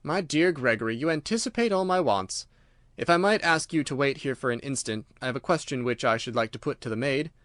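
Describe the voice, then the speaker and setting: A man voicing a confident, brisk Victorian gentleman detective in an audiobook, courteous, assured and playfully secretive. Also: mid-range voice, expressive, no echo, clean studio sound, lightly acted. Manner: courteous, assured, formally worded.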